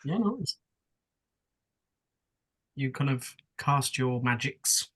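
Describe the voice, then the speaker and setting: A man's voice: medium pitch, lively intonation, slow pace, fairly bright tone. A man with an English accent narrating casually as game master of a tabletop roleplaying game. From England.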